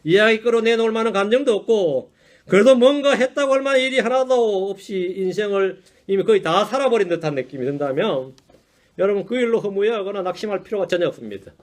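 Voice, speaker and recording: A male pastor preaches a Sunday sermon with a rather high voice that leaps up and down in pitch. He talks to the congregation in a chatty, self-deprecating way and keeps checking in with quick rhetorical asides. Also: animated. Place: church sanctuary.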